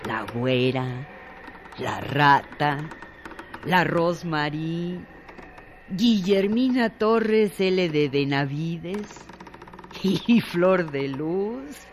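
A man narrating a radio drama from a literary script, with deliberate, dramatic phrasing and pauses. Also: on the high side, expressive. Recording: noisy recording with clear background noise.

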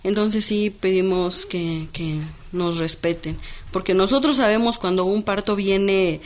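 A woman who works as a midwife makes her point in an interview with firm, earnest conviction. Her voice sits at a middle pitch, steady and direct. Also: a little slow. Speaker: traditional midwife. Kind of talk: interview statement.

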